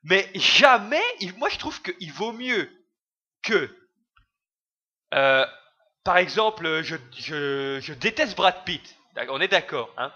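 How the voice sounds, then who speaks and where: A man's voice: sits high, sing-song, slow, pushed and bright, muffled recording. A young man ranting casually in a video blog, rambling and heated, with crude slang and lots of fillers. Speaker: vlogger.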